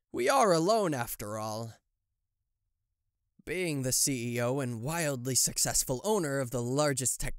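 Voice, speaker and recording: A man's voice: mid-range voice, dry recording, clean studio sound. A man voicing a possessive, smug TV-headed demon CEO in a theatrical, condescending yandere roleplay spoken to the listener. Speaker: voice actor.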